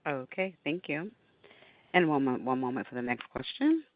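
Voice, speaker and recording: A man makes formulaic operator announcements on a conference call. His voice is fairly high with very animated intonation. The sound is dry and dull. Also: moderate pace, no room sound.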